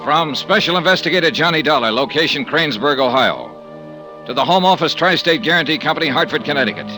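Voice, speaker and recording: An actor playing a wry, hard-boiled male investigator in a 1950s radio drama, with a clipped, deadpan, wisecracking manner. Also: on the high side, fast, lightly acted. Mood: dry, brooding narration. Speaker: series lead and narrator. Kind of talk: 1950s radio detective drama acting, first-person narration. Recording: vintage radio recording with music.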